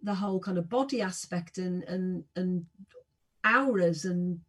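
A woman's voice: lowish voice, a little slow, a bit bright, breathy edge. A woman of sixty, a singer and podcast guest, chatting enthusiastically and with self-deprecating humour. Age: 60s. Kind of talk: casual interview chat.

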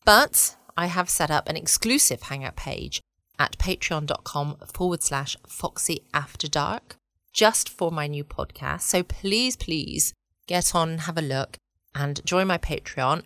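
Low-voiced English woman, close and dry. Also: sharp tone, no room sound. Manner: cosy, chatty, playful asides. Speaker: podcast host. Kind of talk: intimate late-night bedtime podcast chat. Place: at home, close and dry-sounding room.

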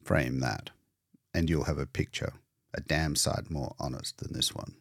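Gloomy old male artist voiced by a man, storybook character, deep, scornful, dryly sardonic, close dry sound. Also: fairly even intonation, unhurried, vocal fry, a bit bright, husky, no echo, full character voice. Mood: sour scorn.

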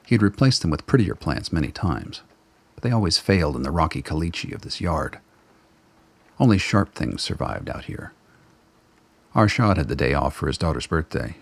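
Super deep guy narrating a radio play, raspy and gravelly, measured, wry, dry close sound. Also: no room sound. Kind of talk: scripted radio drama narration.

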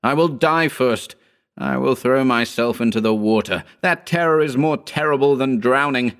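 A man voicing a frightened man who urgently shouts denials and pleads. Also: medium pitch, normal speed, clean tone, pitched above the performer's own voice, lightly acted.

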